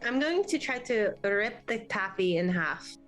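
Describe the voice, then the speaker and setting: A woman's voice: mid-range voice, expressive, a little slow, a bit bright. A woman playing a tabletop role-playing game, chatting casually and stating what her character does. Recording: music behind the voice. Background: music.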